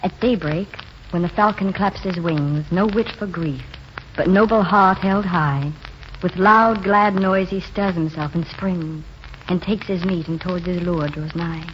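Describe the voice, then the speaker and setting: An actress playing a wistful, dreamy young waitress in a 1940s radio drama, speaking in a plain, earnest way. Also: female voice, lowish voice, close to an everyday voice. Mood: reverent, reciting. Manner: plainspoken and earnest, slower when reciting verse. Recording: muffled, noisy 1940s radio broadcast.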